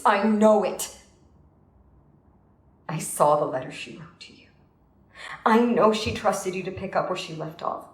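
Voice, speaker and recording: An actress playing a guilt-ridden, grieving woman in a horror game, speaking hesitantly and earnestly in halting phrases. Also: medium pitch, roomy sound. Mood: remorseful. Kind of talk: dramatic horror game voice acting.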